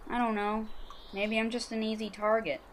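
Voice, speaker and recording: A woman's voice: on the high side, flat delivery, moderate pace, natural voice. Eager young schoolboy played by a woman, slightly noisy. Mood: dejected, confiding. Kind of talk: amateur audio drama acting.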